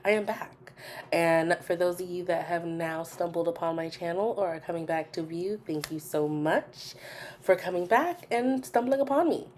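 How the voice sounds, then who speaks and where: A woman with a low voice hosts a gaming video in a casual, chatty style. She sounds a little nasal and stuffed up from a cold. Her delivery is informal, and she repeats short phrases to stress a point. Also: a little mellow. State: congested from a cold. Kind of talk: casual chatty voice-over commentary.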